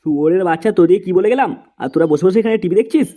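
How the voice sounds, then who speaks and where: A man's voice: high voice, animated, rapid-fire, over loud music. A man voicing a bossy, foul-mouthed, irritable young man in a comedy cartoon. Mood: angry. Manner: scolding, crude.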